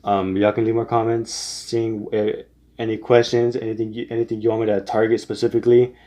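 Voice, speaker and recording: A man's voice: lowish voice, flat delivery, fairly quick, mellow. A young man giving casual singing tips, rambling with many restarts and fillers. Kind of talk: casual spoken tutorial.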